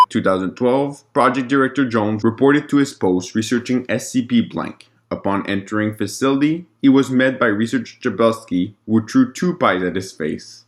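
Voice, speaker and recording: A man with a fairly low voice reads out a written log. His delivery is formal and report-like, as scripted narration of official records. Now and then he trips slightly on a word.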